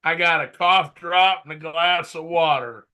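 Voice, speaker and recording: A man's voice: fairly high pitch, unhurried, pushed and bright, clear, resonant tone, dull audio. A man hosting a casual sports podcast while under the weather, his voice worn out, with a dry, teasing way of talking. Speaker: podcast host.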